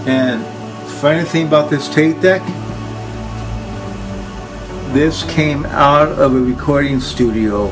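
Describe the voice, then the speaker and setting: An older man casually showing equipment unscripted, plain-spoken and halting, with phrases that trail off. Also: a little high, over music, low-quality recording. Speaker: collector presenting his tape machines. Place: indoor room full of audio gear.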